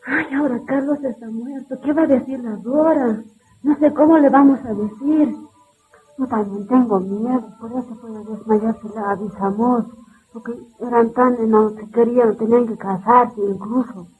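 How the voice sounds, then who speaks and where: A woman's voice: a little high, steady tone, moderate pace, faint music behind, natural voice. A woman playing a frightened, grieving woman in a radio drama, anxious and hesitant, on a tinny recording. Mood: anxious, fearful. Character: frightened grieving woman dreading to break news of a death. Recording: tinny phone-like band, light noise.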